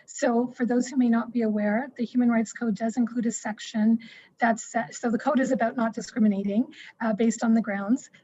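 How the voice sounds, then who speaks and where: A woman on school board staff explaining a human rights policy in an online meeting, measured and explanatory with frequent fillers. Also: on the high side, steady tone, mellow, no room sound, dull audio.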